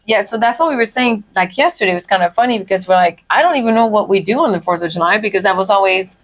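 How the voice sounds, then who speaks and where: A woman's voice: mid-range voice, lively intonation, fast talker. A middle-aged woman co-hosting a casual podcast, chatting and telling family stories. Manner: chatty storytelling, acts out quoted voices. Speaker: podcast co-host, mother. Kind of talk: casual chat.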